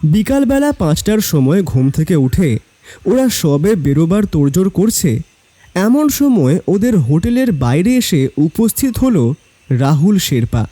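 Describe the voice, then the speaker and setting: A man's voice: sits high, very animated, a little slow, warm tone. A man narrating a scripted story in a dramatic storytelling style. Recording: background music.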